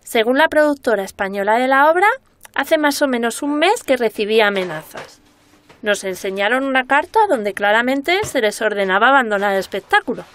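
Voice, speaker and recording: Inquisitive high-voiced woman journalist. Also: expressive, fairly quick, fairly bright tone. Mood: focused recounting. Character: inquisitive, excitable woman journalist investigating a murder. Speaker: voice actor in a radio serial. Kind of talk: naturalistic Spanish radio drama dialogue.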